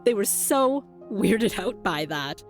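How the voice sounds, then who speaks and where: A woman in her forties, a native Cantonese speaker, hosting a podcast in an upbeat, clear and deliberate scripted monologue. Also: mid-range voice, expressive, soft background music. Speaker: podcast host.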